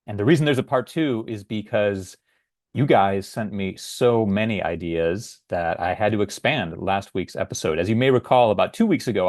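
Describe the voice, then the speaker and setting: Earnest American man, low dry voice. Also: darkish tone, dry recording. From United States. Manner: conversational, earnest, with occasional uh fillers. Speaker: podcast host. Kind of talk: solo podcast monologue.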